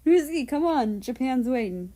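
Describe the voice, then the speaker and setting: Female narrator playing upbeat, affectionate young husband in a romance story, raised pitch, encouraging. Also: lively intonation, normal speed, warm tone. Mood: cheerful, reassuring.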